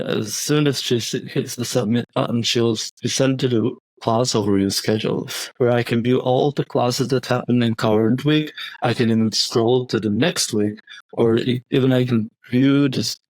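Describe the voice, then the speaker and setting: A young man from Croatia walks through a website demo, stopping and restarting his sentences as he clicks along. His voice sits at an ordinary middle pitch, recorded close and dry. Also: a little mellow, dry recording, poor audio. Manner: hesitant, frequent restarts and fillers. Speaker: bootcamp graduate presenting project. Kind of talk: software demo walkthrough.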